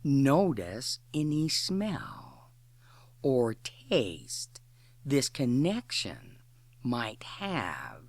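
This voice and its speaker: Very slow-paced woman guiding a meditation. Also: a bass voice, huge pitch swings, gravelly, a little mellow, no room sound. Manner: deliberate, short phrases with long pauses. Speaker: guided imagery facilitator. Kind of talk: guided imagery meditation, instructional.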